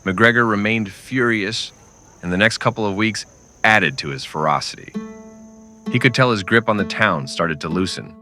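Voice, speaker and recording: A man narrating a scripted western in old-time radio style, with dramatic, deliberate storytelling. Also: on the low side, bright, forceful tone, soft background music.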